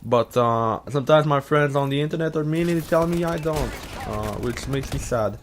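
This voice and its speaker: A man's voice: medium pitch, normal speed, a little hiss or hum. A young man with a Quebec French accent, partly Americanized, rambling in a casual vlog full of swearing, "uh" fillers and restarts. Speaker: YouTuber answering Reddit questions.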